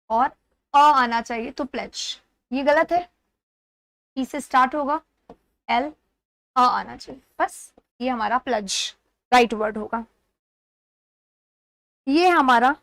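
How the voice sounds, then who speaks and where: A woman's voice: sits high, fairly bright tone, dry recording. A woman with an Indian accent teaching an exam-prep lesson step by step, repeating key words and checking that students follow. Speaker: English teacher.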